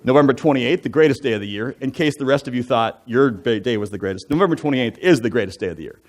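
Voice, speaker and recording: A man in his forties, raised in the United States, stands before his church and tells his own life story. His voice sits in an ordinary middle pitch. He talks loosely and chattily, drifting into asides and self-deprecating jokes. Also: expressive, fast. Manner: conversational and self-deprecating, with asides and joking fun facts. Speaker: church elder giving a testimony. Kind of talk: personal testimony talk from the pulpit. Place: church sanctuary.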